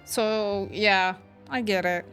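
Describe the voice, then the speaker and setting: A woman's voice: mid-range voice, fairly even intonation, slow pace, fairly bright tone. A woman streaming a game with casual, chatty, musing reactions, game music playing behind her. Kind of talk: casual live reaction commentary.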